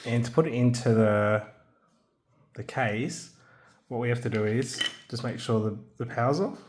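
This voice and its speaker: A man's voice: mid-range voice, moderate pace, a little hiss or hum. A man with a young son, showing a handheld radio kit in a casual, hesitant way with frequent ums and restarts. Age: adult, has a young son. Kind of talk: casual hands-on product demo.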